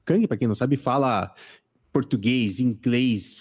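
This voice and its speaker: A man co-hosting a podcast, talking in an excitable, slangy way and swearing often. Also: mid-range voice, unhurried, husky. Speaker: podcast co-host. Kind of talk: casual podcast chat.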